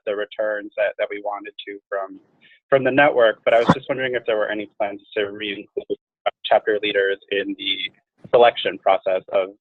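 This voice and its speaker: A man speaks over a narrow, tinny phone connection. His voice is fairly low and the sound is dry, as he politely raises a question in a group meeting. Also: no echo. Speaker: meeting participant asking a question. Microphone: phone or call audio. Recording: thin, band-limited phone line.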